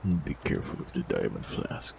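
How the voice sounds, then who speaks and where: A man performing a scripted fantasy audio roleplay, speaking calmly and gently with soft teasing, close to the mic. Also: brisk, very noisy. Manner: calm, gentle, softly teasing.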